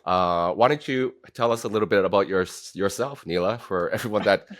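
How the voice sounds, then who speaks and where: A man's voice: lowish voice, a little fast. A man hosting a casual podcast interview in an encouraging way. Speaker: podcast host.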